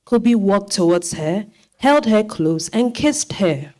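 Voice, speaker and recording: Female stage narrator, Ghanaian accent, medium pitch, presenting to an audience. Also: animated, takes it slowly, darkish tone. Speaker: director and narrator.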